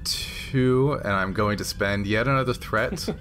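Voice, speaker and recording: Slow-paced, halting male game master. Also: lowish voice, a bit bright, slight background noise. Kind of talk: casual tabletop game narration.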